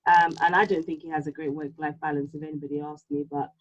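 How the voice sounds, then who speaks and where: A woman whose home tongue is Yoruba, chatting casually and reflectively, halting now and then as she thinks aloud. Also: low-pitched, very animated, creaky. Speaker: podcast co-host. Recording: slightly dull, lo-fi sound.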